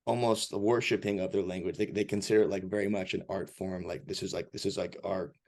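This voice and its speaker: A man's voice: deep voice, fairly even intonation, quick pace, a little mellow. A man interviewing a friend in a casual chat, asking curious, friendly questions.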